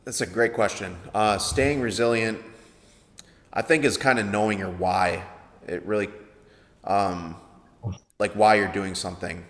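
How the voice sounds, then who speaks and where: A man in his thirties with a baritone voice, answering interview questions in a hesitant way with many fillers. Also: fairly even intonation, measured pace, long pauses, fairly bright tone. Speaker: interview guest, veteran songwriter. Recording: echoey room with long reverb.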